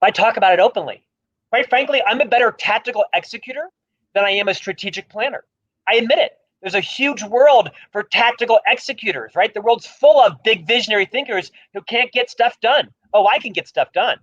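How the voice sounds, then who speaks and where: Man, stutterer, emphatic, self-deprecating, high voice, dull lo-fi sound. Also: animated, a little fast, fairly bright tone. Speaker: author and guest speaker. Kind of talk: livestream interview talk.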